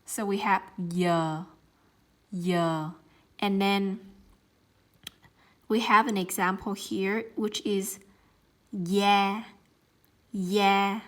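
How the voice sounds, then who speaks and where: Young woman, Southern Vietnamese accent, native Vietnamese, patient teacher who speaks very slowly and repeats sounds. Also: mid-range voice, fairly even intonation. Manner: repeats each sound several times, gentle and careful. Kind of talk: slow, patient pronunciation lesson.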